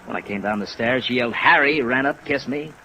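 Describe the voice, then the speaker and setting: A man's voice: medium pitch, a little fast, sharp tone, lightly acted, poor audio. A man acting as a chagrined, middle-aged veteran hotel operator in a 1950s radio drama, hesitant and self-mocking. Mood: sheepish recounting. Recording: vintage radio recording under rain noise.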